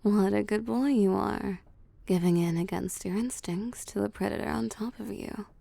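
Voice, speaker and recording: A woman voicing a seductive, possessive vampire boss in an intimate audio roleplay, cooing and teasing softly and often slipping into whispers. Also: mid-range voice, moderate pace, vocal fry, no room sound. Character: seductive, possessive female vampire CEO pampering her prey. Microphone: close, dry microphone.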